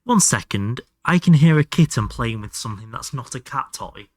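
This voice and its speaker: A man's voice: medium pitch, expressive, moderate pace, darkish tone, no room sound, clean studio sound. A man doing casual live game commentary and chatting to viewers, sweary and self-deprecating. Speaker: live streamer playing a video game.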